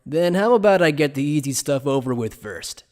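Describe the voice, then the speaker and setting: A man playing an evasive, playful scholar who explains things in a polite, measured, teasing way in an anime fan dub. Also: fairly high pitch, animated, a little mellow, lightly acted. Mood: polite, explanatory. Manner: polite, measured, teasing lecturer with coy understatement.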